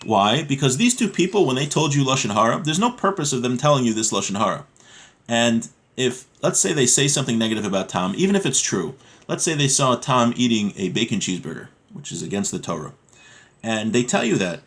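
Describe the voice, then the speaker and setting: A man's voice: mid-range voice, very animated, a little fast, fairly bright tone. A man giving an informal religious lesson, reading from a text and explaining it in plain words, often asking questions and answering them himself.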